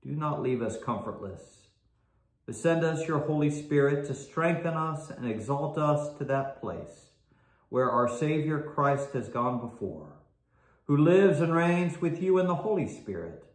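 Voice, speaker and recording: A man in his thirties, a priest, addressing his congregation at home, halting with many fillers and restarts, then leading a prayer. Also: mid-range voice, animated. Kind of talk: informal address and collect prayer. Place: home room, indoors. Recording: roomy echo.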